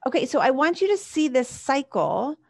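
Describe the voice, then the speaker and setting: A woman's voice: sits high, sing-song, normal speed, vocal fry, a little mellow, breathy edge. A woman coach leading a live coaching session, reflecting the client's patterns back with probing questions and many "like" fillers.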